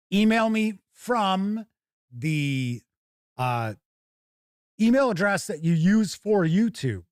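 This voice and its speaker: Higher-pitched middle-aged man, chatty host. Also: no room sound, clean studio sound. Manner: rambling, chatty, informal with mild swearing. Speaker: host of a tabletop gaming livestream. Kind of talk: casual livestream chat and product first look.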